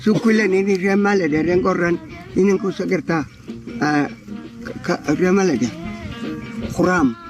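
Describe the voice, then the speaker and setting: A man with a high voice answers questions in a casual field interview. He talks haltingly, pausing to search for words. Loud music plays over the recording behind him.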